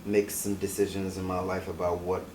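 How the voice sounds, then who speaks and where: Deep-voiced young man from Ghana. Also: little pitch movement, brisk. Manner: soft-spoken, hesitant, many ums. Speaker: guest artist. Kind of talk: interview answers. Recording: echoey room, distant mic, hiss.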